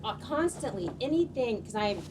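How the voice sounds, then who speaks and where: A woman in the audience at a live event asking a question, halting and self-conscious, rambling with fillers. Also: a little high, sing-song, a loud crowd. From California small town. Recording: distant mic, noisy hall.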